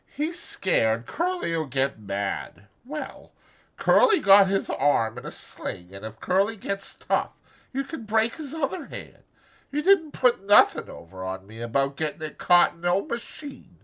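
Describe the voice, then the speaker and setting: High-pitched, coaxing young wife. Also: male voice, wildly swooping pitch, a little slow, fairly bright tone, heavily acted. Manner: coaxing, folksy uneducated speech. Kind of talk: dramatized audiobook character acting.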